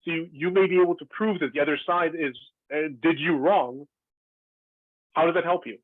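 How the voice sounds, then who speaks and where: A man's voice: a little high, moderate pace, no echo. A man from Israel, a former lecturer, discussing at length in a thoughtful way with many fillers and restarts over a video call. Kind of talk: earnest discussion. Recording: tinny, dry call audio.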